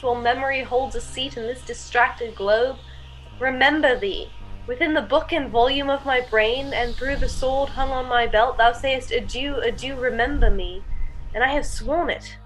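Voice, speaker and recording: Woman acting impassioned young noble, very high voice, exclamatory, Shakespearean tabletop drama. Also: fairly bright tone, poor audio. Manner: theatrical, exclamatory Shakespearean delivery.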